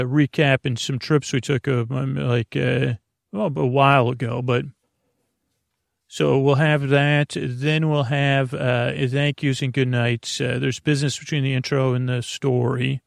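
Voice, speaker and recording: A man hosting a sleep podcast who rambles off topic in soft bedtime chat, full of hesitant fillers. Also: mid-range voice, vocal fry, no room sound, studio quality.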